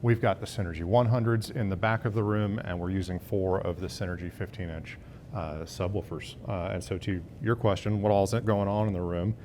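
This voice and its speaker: A man's voice: steady tone, a little fast, darkish tone, a little hiss or hum. A man in his fifties, a sales director, giving a fluent product rundown in a hotel demo room. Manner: fluent, confident sales pitch.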